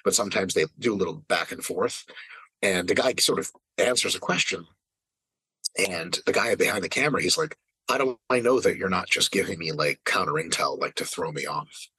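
A man's voice: low voice, expressive, brisk, sharp tone, slightly rough, dry recording, low-quality recording. A man in his late thirties in casual podcast chat, ranting with emphatic, profane energy. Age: 30s. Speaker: fitness podcast regular.

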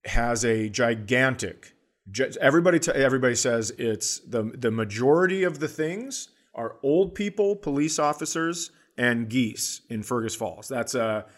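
A man with an American accent and a mid-range voice chats on a comedy podcast. He explains things in a deadpan, mock-earnest way, keeping it casual and conversational. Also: mid-range voice. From Minnesota, United States.

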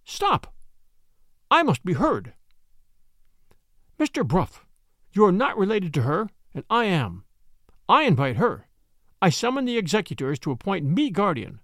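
A man's voice: high voice, very animated, moderate pace, a bit bright, dry recording, studio quality, exaggerated voice. A man voicing a pious, fervent spinster who pleads with impassioned insistence in an audiobook. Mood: fervent pleading.

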